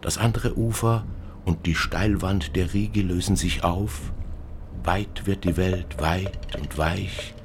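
A man narrating a scripted literary text in a measured, poetic manner. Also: low voice, background noise.

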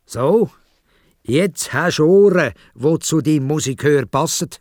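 A man playing a loud, scornful Greek god. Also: fairly high pitch, expressive, no room sound, studio quality, pitched above the performer's own voice. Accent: Swiss German. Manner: slow, loud, scolding. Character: angry, haughty male Greek god, scornful and punishing.

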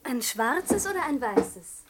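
Polite shopgirl in a 60s radio play, highish voice, obliging, easily tickled. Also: big pitch swings, slow, heavy background noise, full character voice. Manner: courteous, obliging. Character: polite, easily amused French shop saleswoman. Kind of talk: 1960s German radio comedy acting.

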